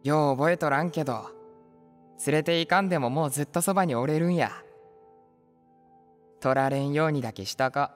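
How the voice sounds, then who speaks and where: A man's voice: fairly high pitch, fairly quick, pauses often, soft background music, natural voice. A man playing a creepy, possessive creature posing as a cheerful teenage boy in a voice comic, with a Mie accent and a nonchalant drawl. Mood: quiet. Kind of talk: voice comic drama acting.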